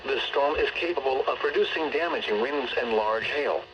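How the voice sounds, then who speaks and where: A synthetic male text-to-speech voice reading scripted emergency weather bulletins over the radio in a formal, measured way. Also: mid-range voice, dull audio, a little hiss or hum. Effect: weather radio broadcast. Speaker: automated weather radio announcer.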